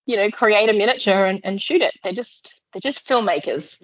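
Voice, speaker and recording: A woman's voice: medium pitch, a little fast, no room sound, muffled recording. A woman co-hosting a podcast, talking casually in a discussion of a book. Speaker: podcast co-host.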